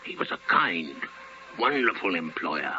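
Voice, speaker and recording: An actor in a 1950s radio drama playing an elderly, repentant miser with a halting, pleading manner. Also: male voice, deep voice, sing-song, sharp tone, exaggerated voice. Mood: wistful, regretful. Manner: halting, pleading in dialogue, theatrical in narration. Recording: dull old radio recording with music.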